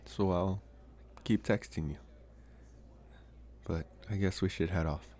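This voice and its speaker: A low-pitched young college vampire played by a man talks straight to the listener in an ASMR boyfriend roleplay. He is soft-spoken and halting, teasing in a wry, slightly awkward way, with plain affection underneath. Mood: tender, hesitant.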